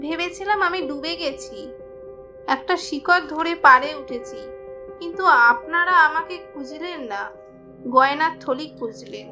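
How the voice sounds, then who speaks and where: An actress playing the vengeful ghost of a drowned young bride, dramatic and accusing, in a horror audio drama. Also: female voice, sits high, moderate pace, bright, forceful tone. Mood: sorrowful. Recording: long echoing reverb over music.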